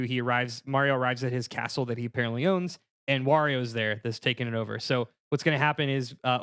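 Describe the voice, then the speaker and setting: A man on a podcast panel, casually pitching comedic ideas in a conversational way. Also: a bit bright, clean tone, no echo, studio quality.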